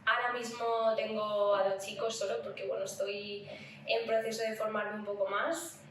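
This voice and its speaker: A woman's voice: medium pitch, little pitch movement, moderate pace, a bit bright. A woman with a Spanish accent from Spain, chatting casually and playfully as a podcast co-host. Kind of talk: casual chat. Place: room at home. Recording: very echoey room, distant mic, faint noise.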